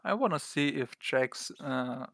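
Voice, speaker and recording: A man's voice: mid-range voice, animated, a little slow, creaky, fairly bright tone, no room sound, studio quality. A man with a German accent co-casting a video game match in an easygoing, casual way, tired late at night. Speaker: co-caster.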